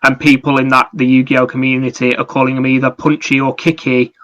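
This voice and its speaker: Bright-voiced man, fairly even pitch. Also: a little fast, dull audio. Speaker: podcast co-host. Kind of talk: casual gaming podcast chat.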